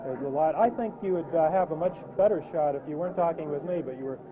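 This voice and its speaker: A man with an American accent, a defense attorney answering press questions in a halting, informal way. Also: fairly high pitch, background noise. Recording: tinny archival newsreel, crowd behind.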